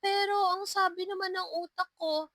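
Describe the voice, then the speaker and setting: A woman's voice: very high-pitched, fairly even intonation, normal speed, fairly bright tone, dry recording, studio quality, pitched above the performer's own voice. A woman voicing a curious, polite young pupil in a narrated story. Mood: earnest.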